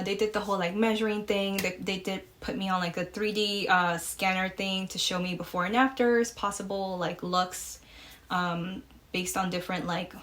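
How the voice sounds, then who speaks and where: A woman in her late twenties hosts a solo podcast, talking casually with lots of "um" and "like" fillers. Her first language is Spanish, and a light Mexican accent colors her English. Her voice sits at a middling pitch. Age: 20s. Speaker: podcast host.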